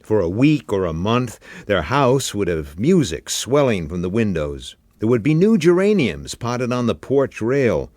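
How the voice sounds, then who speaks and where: A man reading literary fiction in a deliberate, expressive, dramatic narration style. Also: lowish voice, dry recording. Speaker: story reader.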